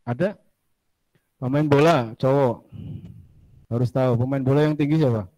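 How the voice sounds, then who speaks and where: Chatty male teacher a mellow voice. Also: medium pitch, normal speed, no echo, rough recording. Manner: chatty, asks students questions, drifts into asides. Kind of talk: casual classroom lecture.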